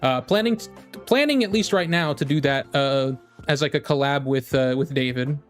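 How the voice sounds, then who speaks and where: A man's voice: medium pitch, moderate pace. A man with a mid-range voice chats casually on a game livestream. He rambles and circles back, repeating his own phrases. Music plays behind him. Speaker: game streamer.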